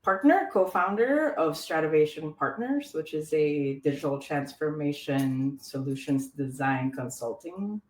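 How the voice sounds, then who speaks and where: Podcast guest: low, chatty woman from the Philippines, lots of fillers, echoey room. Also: expressive, measured pace, hardly any pauses, slight background noise. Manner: chatty, lots of "like" and trailing restarts. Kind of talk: casual interview chat. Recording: roomy echo.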